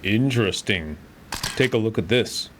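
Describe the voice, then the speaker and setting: A man voicing a calm male doctor in a children's medical app, making brief, matter-of-fact remarks. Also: on the low side, unhurried, a little hiss or hum.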